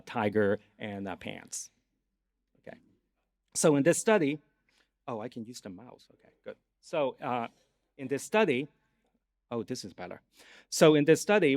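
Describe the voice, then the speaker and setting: A man's voice: medium pitch, a little mellow. Cantonese-accented man giving a lecture. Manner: explanatory, checks in with audience. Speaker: guest lecturer, neuroscience professor. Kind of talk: academic conference lecture. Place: conference lecture hall.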